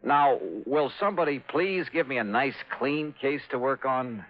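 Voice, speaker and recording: An actor playing a cool, wisecracking insurance investigator, tough and wry, in a 1950s radio drama. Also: male voice, mid-range voice, moderate pace, close to an everyday voice. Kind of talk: 1950s radio drama acting and first-person narration. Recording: muffled vintage 1950s radio recording.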